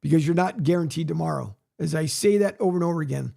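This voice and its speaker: A man hosting a sports radio show, rambling casually with many fillers, his voice raspy from a long day. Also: a little high, fast talker, mellow. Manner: rambling, many fillers, plugs and reads news aloud. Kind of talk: casual solo sports talk, filling time.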